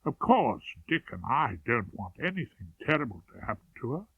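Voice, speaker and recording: A man voicing a pleasant, genteel youngish English woman, gentle and gushing, in an audiobook. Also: mid-range voice, sing-song, normal speed, slightly rough, no room sound, exaggerated voice. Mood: sorrowful, confiding. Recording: narrowband, tinny radio-like sound.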